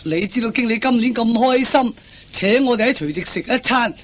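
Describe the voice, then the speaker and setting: A man's voice: extremely high pitch, lively intonation, moderate pace, a bit bright, exaggerated voice. An actor playing a glib, self-assured male workmate who coaxes and schemes in a radio comedy. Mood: persuading. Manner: coaxing, glib, exclamatory. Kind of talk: Cantonese radio comedy acting.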